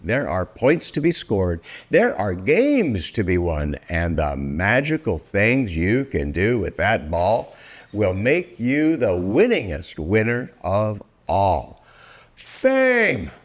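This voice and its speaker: Older man, very animated storybook reader. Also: lowish voice. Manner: theatrical, deliberate phrasing with drawn-out words. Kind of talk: expressive read-aloud of a rhyming children's book.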